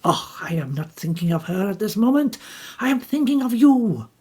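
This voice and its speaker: A woman reading the part of an older male detective, calm and formal, in a slow, deliberate husky voice. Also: mid-range voice, pitched above the performer's own voice. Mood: grave.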